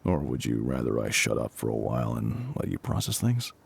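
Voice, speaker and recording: A man playing a gruff, kindly middle-aged firefighter captain in an intimate audio roleplay, reassuring and a little awkward, with a gravelly voice. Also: a bass voice, very animated, heavily acted. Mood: calm, reassuring. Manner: reassuring, slightly awkward, hesitant pauses.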